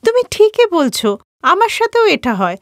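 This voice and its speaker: A woman's voice: extremely high pitch, big pitch swings, moderate pace, dry recording, studio quality, pitched above the performer's own voice. A woman voicing a self-centred young working daughter-in-law in a cartoon family story, whiny and protesting. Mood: complaining. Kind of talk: Bengali moral-story cartoon voice acting.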